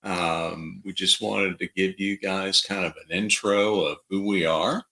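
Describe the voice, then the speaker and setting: A middle-aged man from Dallas, Texas, co-hosting a podcast in casual conversation with a halting, reflective manner. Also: deep voice, fairly even intonation, vocal fry, pushed and bright, low-quality recording. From Dallas, Texas, United States. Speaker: podcast co-host.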